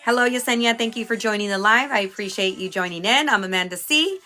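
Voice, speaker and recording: A woman's voice: a little high, quick pace, sharp tone. A woman from Houston, chatting casually as a livestream host, greeting viewers by name and sounding a little stuffy from a cold. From Houston, Texas.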